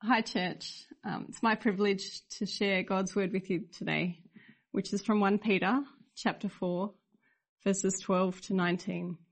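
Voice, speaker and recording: Woman, bible reading, steady and careful, church service. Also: mid-range voice, measured pace. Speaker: scripture reader.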